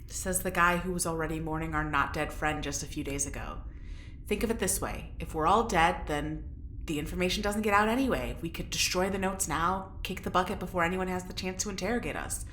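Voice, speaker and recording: Woman acting dry sarcastic lady prisoner in an audio drama, bright forceful voice, roomy sound w some noise. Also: on the low side, fairly quick, natural voice. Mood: wry, resigned. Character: sardonic, defiant young woman prisoner, dark-humored test subject. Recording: roomy echo, background noise.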